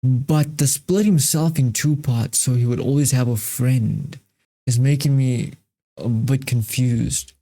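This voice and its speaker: Mellow-voiced man doing reaction commentary. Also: mid-range voice, a little slow. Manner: emphatic, repeats himself, swears freely. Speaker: reaction video host.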